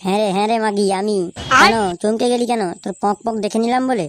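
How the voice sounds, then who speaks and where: A performer voicing a hot-headed, foul-mouthed jilted young man in a comedy cartoon, threatening and shouty. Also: medium pitch, steady tone, fast, over music, close to an everyday voice. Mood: furious. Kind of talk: adult comedy cartoon voice acting.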